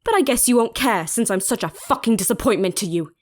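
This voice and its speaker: Casting: woman for sharp-tongued young royal, defiant and proud, slightly high pitch, audio drama. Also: animated, fast. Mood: bitter, angry. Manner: blunt, sarcastic.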